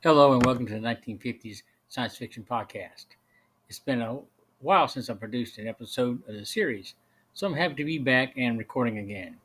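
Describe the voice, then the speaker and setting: Middle aged guy doing a podcast review off a script, measured delivery, normal male pitch. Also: fairly bright tone, slight background noise. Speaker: podcast host and film reviewer.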